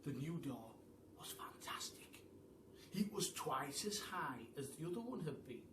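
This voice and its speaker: A man reading a children's story aloud as a teacher, theatrically, with dramatic pauses and emphasis. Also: on the high side, sing-song. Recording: roomy, distant mic with faint background noise.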